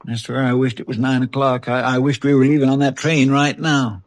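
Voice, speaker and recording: An actor playing a loyal, folksy deputy with an American accent, deferential and plain-spoken, in a 1950s radio western. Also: male voice, medium pitch, level tone, fairly quick, no echo, close to an everyday voice. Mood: uneasy waiting. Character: loyal, folksy deputy sidekick of a frontier marshal.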